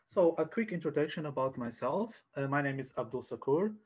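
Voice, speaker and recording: Halting man presenting. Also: fairly high pitch, expressive, a little mellow, breathy edge. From Portugal. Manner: hesitant, halting, repeats words. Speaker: sales coach giving a webinar. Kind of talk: informal online presentation. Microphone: headset on a video call.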